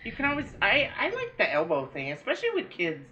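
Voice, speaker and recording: A woman's voice: mid-range voice, huge pitch swings, quick pace, sharp tone, lo-fi sound. A woman co-hosting a podcast in casual chat, silly and joking, laughing a lot. English is not her first language. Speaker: podcast co-host.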